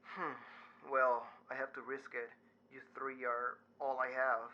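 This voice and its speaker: An actor playing a wry, anxious mortuary worker in an audio drama, measured in delivery, heard over a call. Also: male voice, medium pitch, level tone, lightly acted. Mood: worried. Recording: dull, band-limited call sound.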